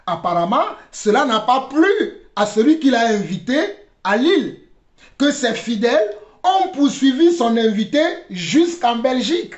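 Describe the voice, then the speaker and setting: Very high-pitched man, echoey commentary. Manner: deliberate, halting, repeats phrases for emphasis. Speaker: religious commentator. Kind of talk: spoken commentary with preaching. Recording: dull, roomy, echoing recording.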